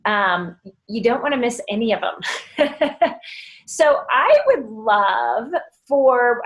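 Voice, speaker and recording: A woman's voice: a little high, low-quality recording. Upbeat woman hosting a team call. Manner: upbeat, enthusiastic, with fillers. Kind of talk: casual group phone call.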